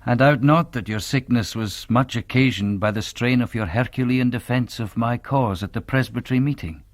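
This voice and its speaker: An actor playing a grave, devout young Presbyterian minister with a Scottish accent, in a radio drama. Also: male voice, medium pitch, moderate pace, no echo, studio quality, natural voice. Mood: grave, formal. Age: young adult. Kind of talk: radio drama acting, reading letters and journal.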